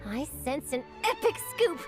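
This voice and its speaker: Young scoop-hungry reporter, high voice, lively swings, upbeat, game music. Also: female voice. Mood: eager. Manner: brisk, upbeat, theatrical. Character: eager young woman reporter chasing a scoop. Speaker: journalist. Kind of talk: video game voice acting. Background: game score music.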